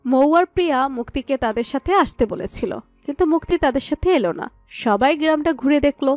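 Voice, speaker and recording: A woman narrator reads a scripted romantic story in a very high voice. The recording is dry, with soft music behind her. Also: expressive, fast talker, no echo. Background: background music.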